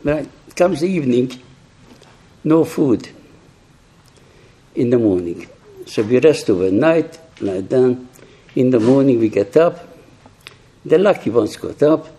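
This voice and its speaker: An elderly man recounts his memories slowly, in halting, reflective phrases with frequent restarts. His voice is very dark and mellow, with a husky grain. He is hard of hearing. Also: medium pitch, animated. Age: older, 80s or 90s. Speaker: survivor giving testimony to young people. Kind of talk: personal testimony talk.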